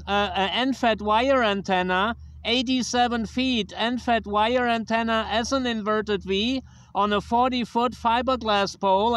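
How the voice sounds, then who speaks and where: A man from Germany with a German accent, chatting casually as a ham radio operator and deliberately repeating phrases. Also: high-pitched, a bit bright, clear, resonant tone, no echo. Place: outdoors on a grassy riverbank. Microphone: handheld tablet recording.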